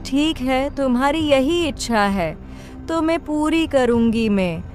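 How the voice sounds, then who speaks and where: Casting: woman as reproachful cartoon village wife, fairly high voice, slow deliberate scolding, soft music behind. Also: expressive. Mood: hurt, defiant. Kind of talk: Hindi cartoon story voice acting.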